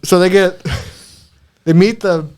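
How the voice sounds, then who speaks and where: A man's voice: sits high, fairly even intonation, slow pace, darkish tone, clear, resonant tone. A man co-hosting a casual podcast, retelling a film plot with swearing, jokes and frequent chuckles. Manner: sweary, joking, often chuckling while talking. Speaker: podcast co-host reviewing movies.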